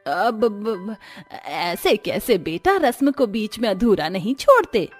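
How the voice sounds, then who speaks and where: A woman voicing a scheming, possessive middle-aged mother-in-law in a melodramatic animated family story, theatrical and pleading. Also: medium pitch, takes it slowly, faint music behind. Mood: flustered, evasive. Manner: flustered stammering excuses, theatrical pleading.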